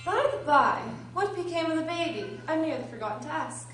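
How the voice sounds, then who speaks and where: A woman's voice: high voice, expressive, brisk, fairly bright tone. A woman playing a mad, grinning cat who talks in teasing, matter-of-fact riddles in a storybook radio drama. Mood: unruffled. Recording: echoey room, distant mic, faint noise.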